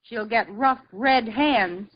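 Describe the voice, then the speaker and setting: An actress playing a playful, wisecracking young housewife in a 1940s radio comedy, with quick comic timing. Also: female voice, on the high side. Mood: coy. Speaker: wife in a radio sitcom. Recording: dull hissy old radio broadcast.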